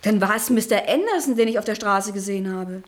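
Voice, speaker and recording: A woman's voice: medium pitch, fast talker, close to an everyday voice. A woman playing an inquisitive traveller in a radio play, wry and probing in the way she asks questions. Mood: curious. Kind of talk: German radio play acting.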